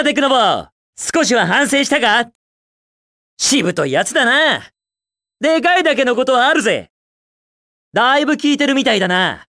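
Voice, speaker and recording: A cocky young hedgehog hero for a video game. The delivery is upbeat, brash and casually slangy, moving freely up and down in pitch. The sound is dry and studio-clean, with no room around it. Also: male voice, a bit bright, no room sound, full character voice. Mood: taunting, confident. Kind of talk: Japanese video game voice clips.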